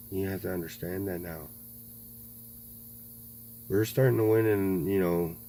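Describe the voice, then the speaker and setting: A man in his thirties in a rambling political monologue, halting, full of fillers and frequent swearing. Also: deep voice, a little mellow. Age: 30s. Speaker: video blogger. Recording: faint mains hum.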